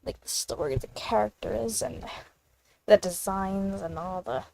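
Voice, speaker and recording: A woman's voice: medium pitch, lively intonation, measured pace, vocal fry, warm tone, slight background noise, poor audio. A woman casually commentating on a game she is playing, rambling and reacting aloud. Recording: game music behind the voice.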